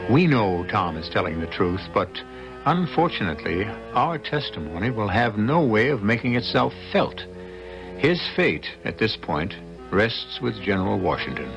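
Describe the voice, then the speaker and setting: A man hosting and narrating a radio mystery in a formal, deliberate, dramatic manner. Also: fairly low pitch, sing-song, gravelly, lo-fi sound, music in the background. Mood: solemn, ominous. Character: solemn male host narrating a suspense tale. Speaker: host and narrator.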